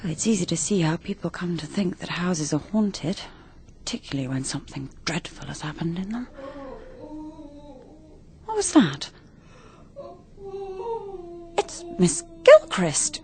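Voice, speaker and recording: An actress playing a composed, practical, unsentimental young woman in a radio murder mystery, brisk and matter-of-fact. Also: lowish voice, very animated, noisy background. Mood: uneasy. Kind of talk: radio drama acting.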